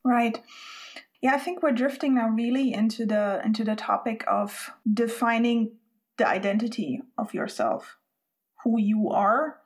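A woman from Spain hosts a relaxed podcast conversation. Her voice sits a little high. She thinks aloud in a reflective, exploring way. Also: measured pace. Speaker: podcast host.

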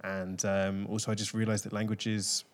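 A young man with a London accent and a very low, deep voice. He answers interview questions in an easy, reflective way. His speech is full of ums and loose phrasing as he works through his thoughts. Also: little pitch movement, moderate pace, slight background noise. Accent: London English. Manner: thoughtful, with many ums and kind ofs. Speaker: guest. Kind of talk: casual interview conversation.

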